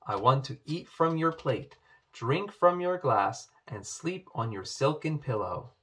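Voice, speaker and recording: A man doing a croaking, polite talking frog. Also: medium pitch, expressive, measured pace, sharp tone.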